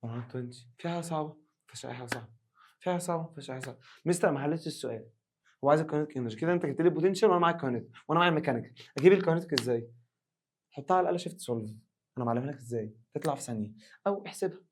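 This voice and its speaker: A male science teacher with an Egyptian Arabic accent, hoarse, giving an animated exam revision lesson and acting out students' questions. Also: a little high, very fast, a little hiss or hum.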